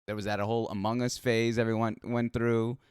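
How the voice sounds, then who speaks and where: A man's voice: medium pitch, flat delivery, a little fast, a little mellow, smooth, no room sound, clean studio sound. An American man hosting a podcast interview, chatty and easygoing, often restarting his sentences and laughing along. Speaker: podcast host. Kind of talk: casual interview chat.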